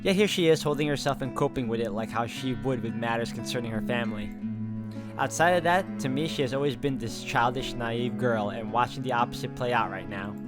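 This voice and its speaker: Male game player as narrator, reading story text in a fairly high voice, clear plucked guitar music behind. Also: fairly quick. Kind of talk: reading visual novel narration aloud.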